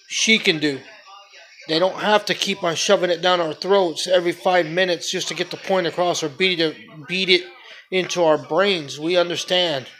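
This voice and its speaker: A man's voice: on the high side, a bit bright, poor audio. A man giving a casual, opinionated film review as a blunt, exasperated rant, swearing freely and repeating his complaints.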